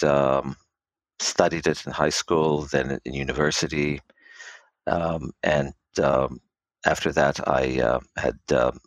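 Very deep-voiced man, halting and measured. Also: dry recording. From Israel. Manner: measured, halting, many fillers. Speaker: biotech quality expert guest. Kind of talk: podcast interview answers.

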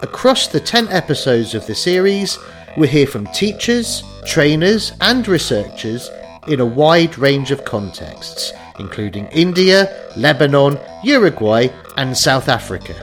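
A man hosting a podcast, reading a scripted introduction in a clear, measured way. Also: on the high side, very animated, fairly bright tone, faint music behind. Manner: clear, measured read-out delivery. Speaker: podcast host.

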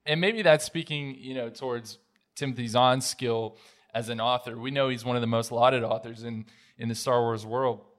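A man with an average-pitched voice co-hosts a podcast. He talks in a casual, conversational style as he interviews. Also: fairly even intonation, normal speed, fairly bright tone. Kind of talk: casual chat.

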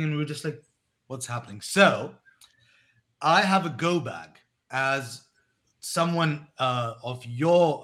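A man in his thirties, born in Pakistan and raised in England, calmly recounting his past as a guest on an interview show, with frequent restarts. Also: medium pitch. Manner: reflective, measured, with many restarts. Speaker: guest, education company co-founder. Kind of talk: storytelling in a podcast interview.

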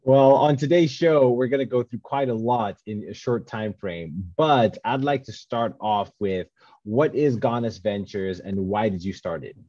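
A man's voice: mid-range voice, warm tone, no room sound. A man hosting a podcast and interviewing a guest in an easygoing way, laughing often. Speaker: podcast host. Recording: dull lo-fi sound, dry.

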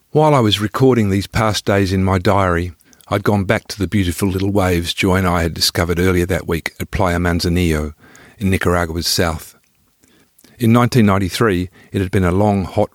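Male narrator, low gravelly voice, understated dry wit, reading a personal travel story. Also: no echo. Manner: wry, understated, colloquial. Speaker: author narrating own travel memoir. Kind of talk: scripted first-person storytelling.

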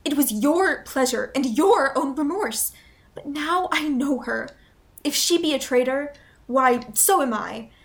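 Woman playing a high-voiced devoted young noblewoman. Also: expressive, measured pace. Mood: pleading defiance. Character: devoted, spirited young noblewoman, loyal loving cousin. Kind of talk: Shakespearean radio play acting.

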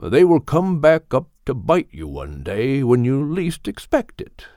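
A man voicing a wise, gentle older male animal mentor in an audiobook, speaking in a measured, firm but kindly way. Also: mid-range voice, wildly swooping pitch, no echo, studio quality. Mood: tender, counseling. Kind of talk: audiobook character voice.

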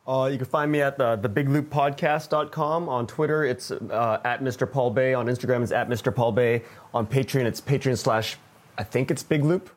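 A man's voice: mid-range voice, normal speed. A man who makes podcasts, speaking casually as a panelist. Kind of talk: casual talk.

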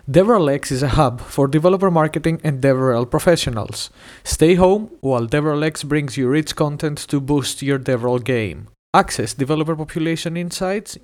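A man reads a scripted promotional intro as the show's host, upbeat and polished. His voice sits a little higher than most men's. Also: darkish tone. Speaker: show host. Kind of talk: scripted ad read.

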